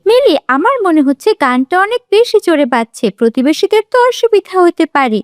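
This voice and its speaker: A woman voicing a kind, pious young girl in a children's cartoon, speaking gently and sincerely. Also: extremely high pitch, very animated, a little fast, no room sound, clean studio sound. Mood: worried.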